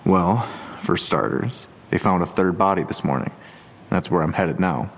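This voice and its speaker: Low, gravelly, guarded detective. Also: male voice, lively intonation, moderate pace, a little hiss or hum. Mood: guarded, dry. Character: male police detective, guarded, dry and matter-of-fact. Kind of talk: audio drama acting.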